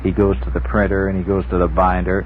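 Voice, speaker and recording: A man being interviewed as an author on a radio show, talking in a casual, halting and reflective way. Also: sits low, completely flat delivery. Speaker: guest author. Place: 1960s radio studio. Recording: muffled phone-like old radio recording, faint noise.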